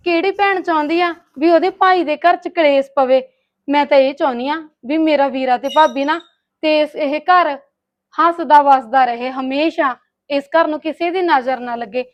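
A woman's voice: sits high, muffled recording, natural voice. A woman playing a meek married younger sister, pleading and conciliatory, in a family drama. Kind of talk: Punjabi domestic drama dialogue.

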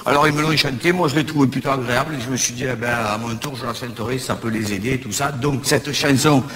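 A man's voice: mid-range voice, quick pace, fairly bright tone. A man with a Marseille accent chatting with a live audience from a stage, rambling with hesitant restarts. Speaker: comedian on stage. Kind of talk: stand-up chat with the audience. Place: large theater stage. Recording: echoey hall with crowd.

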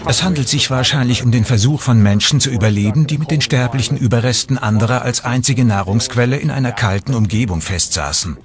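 A man's voice: mid-range voice, brisk, few pauses. Male expert voice-over, medium register, explanatory delivery, audible crowd-like background. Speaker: voice-over translator of documentary experts. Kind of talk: dubbed expert commentary.

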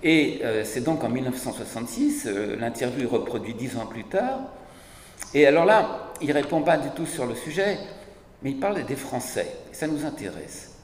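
Older male speaker, hoarse, ironic, with a lively rising and falling voice; desk mic in a big reverberant hall. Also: mid-range voice, moderate pace. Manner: ironic, animated, gestures while speaking. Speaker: speaker at a public debate. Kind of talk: lively public talk on philosophy. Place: large hall, seated at a panel table. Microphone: desk mic on a stand. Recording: echoey hall from a distance.

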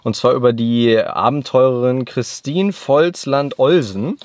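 A young man vlogging casually from a van on a road trip, talking with excitement and lots of fillers. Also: medium pitch, a little slow, no echo. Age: young adult. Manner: chatty, excited, many fillers. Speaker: vlogger and filmmaker. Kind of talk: casual travel vlog chat. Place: inside a van.

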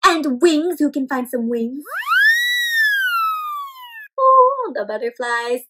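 A young woman hosting an interactive picture-book read-aloud for small children, expressive and animated, asking them questions as she goes. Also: high-pitched, slow, very noisy. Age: young adult. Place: indoor room at home with plants and bookshelf.

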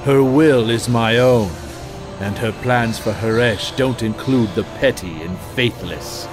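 An actor playing a stern, pious necromancer lord in a fantasy game, with pompous, measured, archaic speech. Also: male voice, mid-range voice, lightly acted. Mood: stern, scornful. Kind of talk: dramatic fantasy game dialogue. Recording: loud music under the voice.

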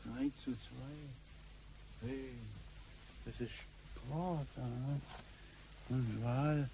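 A man's voice: mid-range voice, steady tone, drawn-out words, creaky, mellow, a little hiss or hum, rough recording. A man playing an anxious, kindly grandfather from the future, flustered and polite, in a radio play. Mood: amazed.

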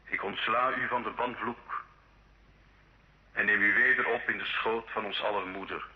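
An actor playing a solemn church judge in an old radio drama, grave, formal and measured. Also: male voice, fairly low pitch, fairly even intonation, close to an everyday voice. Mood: grave, stern. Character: solemn church judge pronouncing absolution and death sentence. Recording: muffled old radio recording.

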